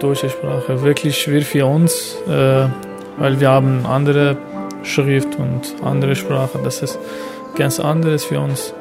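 Man, gravelly flat voice. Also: mid-range voice, music in the background. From Iran. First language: not German. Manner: simple, halting learner's phrasing with pauses.

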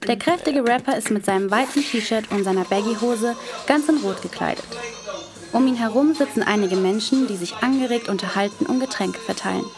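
A woman's voice: fairly high pitch, a little fast, music in the background. A woman working as a radio reporter, reading the scripted narration of a feature report.

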